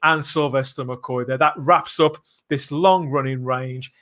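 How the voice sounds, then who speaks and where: A man's voice: mid-range voice, steady tone, a little slow, a bit bright. A man hosting a casual live podcast, chatting through news and comments with frequent rhetorical tag questions. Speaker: podcast host.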